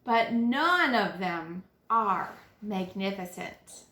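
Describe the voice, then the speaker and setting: A woman teacher reading a children's picture book aloud, with dramatic, deliberate phrasing and stressed key words. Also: mid-range voice, expressive, sharp tone, reverberant, slight background noise. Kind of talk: expressive children's read-aloud narration.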